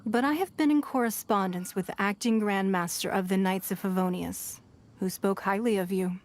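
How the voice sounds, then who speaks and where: Woman acting powerful lady leader, video game, poised, formal, shrewd, medium pitch, measured. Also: lightly acted. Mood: gracious, proud. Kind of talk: scripted video game cutscene dialogue.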